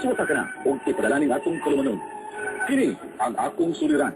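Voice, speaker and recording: A man's voice: on the high side, big pitch swings, fast talker, very noisy. A man presenting a scripted introduction to a radio drama program. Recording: loud music under radio broadcast. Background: loud music.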